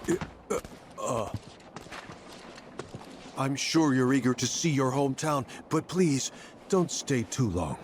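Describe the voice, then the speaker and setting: An actor playing a polite, officious and slightly hesitant company attendant in a video game. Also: male voice, medium pitch, animated, slow, pauses often, a little hiss or hum. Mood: polite, uneasy. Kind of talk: video game character acting.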